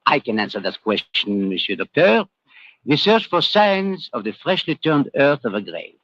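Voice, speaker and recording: An actor playing a keen, courteous detective in a 1940s radio drama, formal and eager. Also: male voice, medium pitch, very animated, normal speed, a bit bright. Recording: dull old radio recording.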